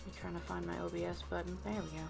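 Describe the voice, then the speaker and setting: Woman streamer with a creaky voice. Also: on the low side, flat delivery, rapid-fire. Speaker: livestreamer. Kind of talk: casual chat to livestream viewers. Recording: music playing behind the voice. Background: background music.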